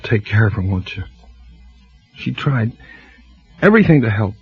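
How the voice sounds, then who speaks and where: Haunted firebug. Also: male voice, fairly low pitch, sing-song, moderate pace, slightly rough, dry recording, full character voice. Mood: weary, remorseful. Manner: soft weary confession turning rapt. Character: haunted male firebug, quietly confessing, fire-obsessed. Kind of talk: 1940s radio acting. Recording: muffled old radio broadcast with music.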